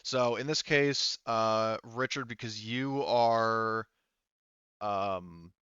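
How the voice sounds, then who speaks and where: A low-pitched man acts as game master in a casual tabletop session. He speaks clearly and haltingly, thinking aloud, with a bright edge. The microphone is close and dry but a little dull. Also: dry recording.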